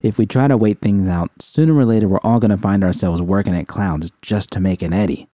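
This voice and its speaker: A man reading as a tough, slangy gang woman, low-pitched. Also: a little fast, mellow, no echo, clean studio sound, natural voice. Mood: frustrated. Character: Rita, tough, worried woman gang member. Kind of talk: audiobook character dialogue.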